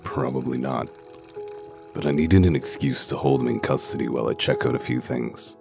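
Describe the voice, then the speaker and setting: A man playing a gruff, cynical, humorless wolf detective in a comic dub, with a deadpan, blunt growl. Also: moderate pace, music in the background. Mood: dry, blunt. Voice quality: very deep, gravelly growl. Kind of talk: dramatic comic dub acting.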